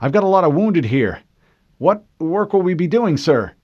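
A man's voice: a little high, sing-song, moderate pace, slightly rough, voice pushed up. A man voicing a desperate male marine corporal calling urgently over the radio. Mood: alarmed. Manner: urgent, shouted radio reports. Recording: dull lo-fi dry recording.

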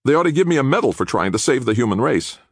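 A man's voice: medium pitch, big pitch swings, fast talker, husky, no room sound, pitched above the performer's own voice. A man voicing an anxious, indignant male defendant who protests and pleads, in an audiobook. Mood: agitated. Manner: agitated, protesting.

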